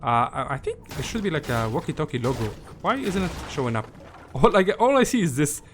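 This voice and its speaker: Casual male gaming host, lively swings in pitch, informal and halting, some background noise. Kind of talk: casual gameplay guide commentary.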